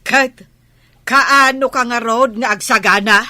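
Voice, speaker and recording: Actress as a sharp, high-voiced scolding village wife. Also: female voice, slow, dry recording, heavily acted. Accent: Filipino, Ilocano. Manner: sharp, nagging. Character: scolding, bossy village wife nagging her husband. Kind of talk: Ilocano radio comedy drama.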